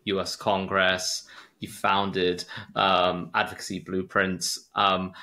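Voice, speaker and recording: Podcast host man, low bright voice. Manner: friendly, hesitant with fillers and restarts. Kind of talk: conversational interview.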